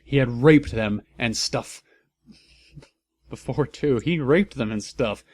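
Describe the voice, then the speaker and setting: A man giving a comedic, mock-serious dramatic reading as a narrator, with theatrical, deliberate emphasis. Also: mid-range voice, darkish tone, breathy edge.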